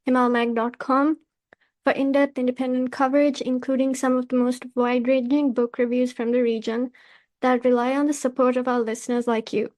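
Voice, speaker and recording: High-pitched, even-toned woman podcast host. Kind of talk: scripted podcast hosting.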